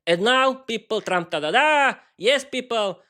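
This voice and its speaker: Man in his 30s, native Slovak, enthusiastic podcast host, halting speech, repeats words. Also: very high-pitched, lively intonation, pushed and bright. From East Slovakia. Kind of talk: improvised solo podcast monologue.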